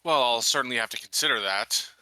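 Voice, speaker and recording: A man playing a tabletop roleplaying game, speaking in measured, rather formal wording. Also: medium pitch, pushed and bright, dry recording, rough recording. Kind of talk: in-character roleplay and table talk.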